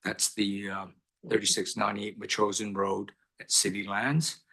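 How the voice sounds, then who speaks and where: A man serving as mayor, chairing a council meeting with brisk, formal procedure and a little light humor. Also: on the low side, level tone, sharp tone, breathy edge. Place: council chamber.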